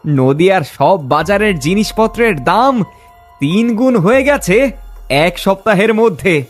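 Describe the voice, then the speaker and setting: A man's voice: high-pitched, expressive, a little slow, faint music behind. A man voicing a greedy, smug profiteering villain in a cartoon, gloating as he talks.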